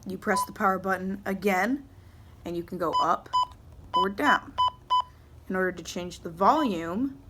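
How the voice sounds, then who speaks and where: A woman student presenter giving a casual, unscripted hands-on demonstration, explaining things informally step by step. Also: mid-range voice. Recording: clear background noise.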